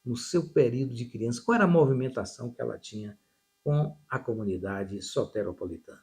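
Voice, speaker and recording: A man hosting a podcast interview, asking formal questions in a slow, deliberate way. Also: mid-range voice, a little mellow. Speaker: podcast host, journalist.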